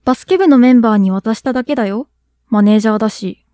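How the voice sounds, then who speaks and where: A woman's voice: on the high side, fast talker, dark tone, dry recording, clean studio sound, close to an everyday voice. A young woman playing a friendly, easily flustered schoolgirl with a crush in a radio drama. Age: young adult. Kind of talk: Japanese student radio drama acting.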